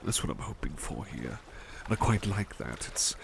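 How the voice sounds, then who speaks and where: A man playing a devoted, tender butler in an ASMR roleplay, murmuring softly and a little hesitantly to the listener. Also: low voice, big pitch swings, normal speed, creaky, slight background noise, heavily acted. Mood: gentle, absorbed. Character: devoted, tender male butler who loves painting.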